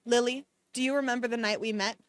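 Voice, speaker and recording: A woman reading the lines of a troubled young male doctor in a hushed, somber way. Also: extremely high pitch, steady tone, fast talker, clean tone, voice pushed down. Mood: earnest.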